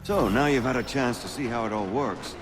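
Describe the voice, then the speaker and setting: An actor playing an affable, wry colonial rebel mentor who explains things in a video game cutscene. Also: male voice, medium pitch, brisk, very noisy, lightly acted. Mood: explaining. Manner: wry, instructive.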